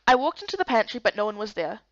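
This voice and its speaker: A woman with a high, lively voice narrates a first-person story. The sound is dry and a little dull. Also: rapid-fire, no echo. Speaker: narrator and voice actor. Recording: dull lo-fi close recording.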